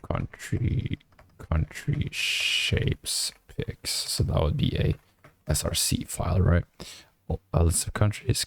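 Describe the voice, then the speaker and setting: Hoarse, gravelly-voiced young man. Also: sits low, dry recording. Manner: mumbling, halting with many fillers; speaks quietly to avoid disturbing neighbors. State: hoarse, nearly lost voice. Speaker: programming streamer. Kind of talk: casual coding stream commentary. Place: small apartment room at night.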